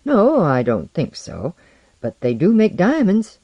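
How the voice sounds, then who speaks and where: Earnest, anxious modern man, very animated pitch, voiced by a narrator. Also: unhurried, dry recording, dull audio, exaggerated voice. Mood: hesitant, guarded.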